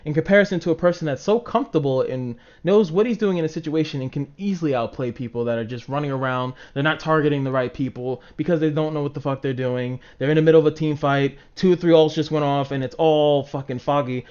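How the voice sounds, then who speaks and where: Male streamer, higher-pitched voice, loose conversational rambling to chat with casual swearing and acted-out reactions, dull lo-fi recording. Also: quick pace, hardly any pauses. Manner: informal, swearing, acts out imagined reactions. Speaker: livestream host talking to chat viewers. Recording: dull, lo-fi microphone sound.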